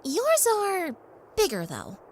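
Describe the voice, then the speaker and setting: A woman's voice: very high-pitched, wildly swooping pitch, slow, full character voice. A woman playing a curious, polite young magical pony in an audio drama. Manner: inquisitive, courteous. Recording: ambient noise behind voice.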